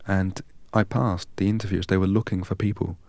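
A man from England, a guest in an interview, telling his life story in a measured, precise and earnest way. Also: deep voice, little pitch movement, darkish tone, dry recording. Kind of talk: reflective personal storytelling.

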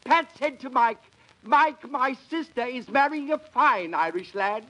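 An actor playing a pompous would-be comedian who tells corny puns with grand theatrical flair, in 1940s radio comedy style. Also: male voice, very high-pitched, animated, unhurried, dull audio. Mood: hammy.

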